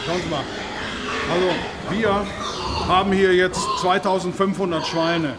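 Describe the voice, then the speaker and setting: An actor playing an aggrieved, defensive pig farm owner in a radio drama, halting and repeating himself to justify his case. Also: sits high, steady tone. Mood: eager, explaining. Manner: halting, repetitive, self-justifying. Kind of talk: naturalistic radio drama in reportage form. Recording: loud ambient noise of an animal barn.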